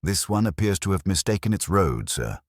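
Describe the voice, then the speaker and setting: A tactful police sergeant from a mystery audiobook, voiced by a male narrator. He is deferential, discreet and plain, with a very low, husky voice in a dry recording. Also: big pitch swings, normal speed, dry recording. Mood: matter-of-fact.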